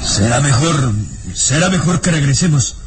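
An actor playing a frightened, anguished guide doomed to turn beast, with dramatic, ominous urgency in a radio serial. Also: male voice, fairly high pitch, normal speed, background noise, lightly acted. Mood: agitated, pleading. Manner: dramatic, agitated, ominous repeated warnings. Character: terrified male guide turning into murderous beast, anguished. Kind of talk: radio serial melodrama acting. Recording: radio drama with loud music behind.

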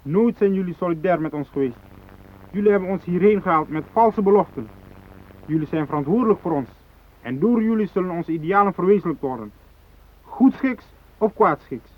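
An actor in a radio drama playing a fanatical, unyielding hijacker who speaks in cold, threatening declarations. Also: male voice, high voice, expressive, fairly quick. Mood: bitter, defiant. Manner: declarative, threatening, ideological speechmaking. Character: fanatical South Moluccan hijacker, unyielding, cold, righteous militant. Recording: thin phone-like radio drama recording.

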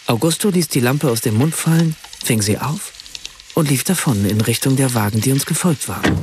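Male writer-narrator for radio drama: measured, exact, mid-pitched, played by an actor. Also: lively intonation, background noise, natural voice. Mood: reflective, measured. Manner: measured, precise storytelling. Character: German writer narrating a remembered family evening in Rome. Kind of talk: scripted radio play narration.

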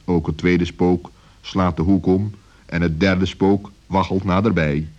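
A man narrating a children's radio story in an expressive, suspenseful manner. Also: sits low, a little slow, muffled recording.

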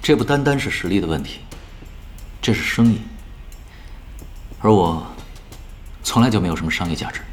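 A calm, resolute male pro gamer in an audio drama. The voice is fairly low with lively inflection, understated and composed, with light music underneath. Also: moderate pace, long pauses.

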